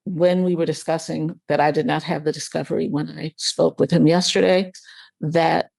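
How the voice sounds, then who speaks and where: A woman arguing in court as a defense attorney, halting and repeating words as she goes. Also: sits low, little pitch movement, vocal fry, darkish tone, no echo. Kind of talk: courtroom argument.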